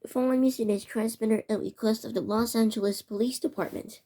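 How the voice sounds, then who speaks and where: A woman's voice: a little high, steady tone, warm tone. A woman reading a homemade emergency alert broadcast, stumbling and restarting often. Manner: halting, stumbling over words. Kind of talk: amateur mock emergency broadcast narration.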